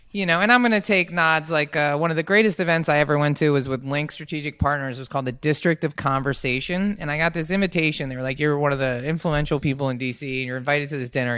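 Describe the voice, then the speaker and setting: A woman hosting a podcast, telling stories in animated casual chat and swearing freely. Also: sits low, clean tone, no room sound. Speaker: podcast host.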